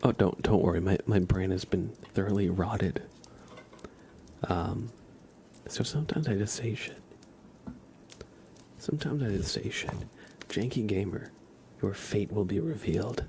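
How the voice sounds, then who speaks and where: Slow, low, hushed ASMR man. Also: background noise. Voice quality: soft, hushed, often dropping toward a whisper. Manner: laid-back, gently joking, halting pauses. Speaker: ASMR livestream host. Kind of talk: ASMR, casual chat and tarot reading for viewers.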